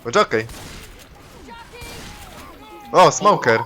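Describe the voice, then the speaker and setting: A man's voice: medium pitch, lively intonation, extremely slow, a crowd in the background. A man casually bantering and joking with friends while playing a co-op video game, swearing now and then. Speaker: gamer in co-op gameplay session.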